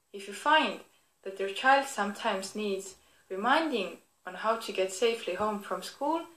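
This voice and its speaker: A woman's voice: medium pitch, a bit bright. Female actor as clever, friendly storybook teddy bear toy. Scripted children's demo, teacherly and encouraging, roomy echo. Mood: proud, helpful. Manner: encouraging, instructive. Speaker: talking toy presenting itself. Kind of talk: scripted product demonstration for children.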